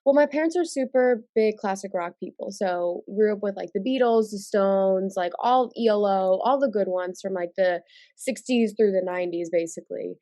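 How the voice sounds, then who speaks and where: A woman's voice: medium pitch, normal speed, dark tone, no echo. A young woman in her twenties from New York, congested from a cold, chatting casually with many fillers and restarts in an interview over a video call. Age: 20s. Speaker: singer-songwriter guest.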